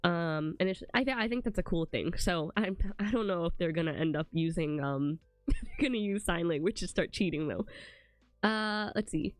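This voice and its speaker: Close and dry, a young woman talks to her stream with a stuffy, congested voice, wandering from thought to thought and packing her sentences with "like" and "um". Soft music plays faintly underneath her. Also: fairly low pitch, expressive, normal speed, dry recording. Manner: rambling, full of "like" and "um". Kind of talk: casual chat to a live stream.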